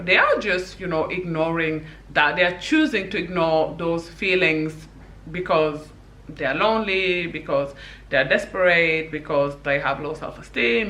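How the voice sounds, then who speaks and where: A woman's voice: on the low side, a bit bright, reverberant. A woman from Senegal giving her opinions in a casual vlog, talking emphatically with many "you know"s. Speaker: vlogger.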